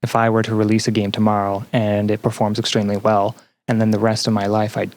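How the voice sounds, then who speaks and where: Young guy on a podcast, lowish voice, thinks out loud, sincere and kinda halting. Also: completely flat delivery. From Canada. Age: young adult. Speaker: podcast guest; game developer and student. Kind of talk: reflective personal interview.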